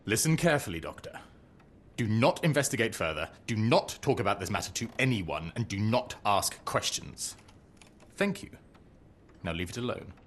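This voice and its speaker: An actor playing an aloof, authoritative Victorian gentleman in a video game, British, with clipped, formal, commanding speech. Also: fairly low pitch, expressive, moderate pace, lightly acted. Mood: stern, secretive. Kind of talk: video game cutscene acting. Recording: clear background ambient noise.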